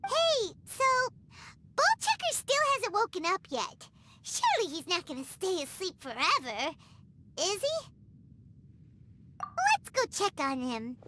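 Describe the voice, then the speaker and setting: A woman voicing a tiny, childlike fairy companion in a video game, squeaky, chatty, blunt and cheeky. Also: wildly swooping pitch, slow pace, pauses often, background noise, heavily acted. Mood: curious concern. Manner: speaks of herself in third person, teasing. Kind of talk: video game character acting. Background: game music.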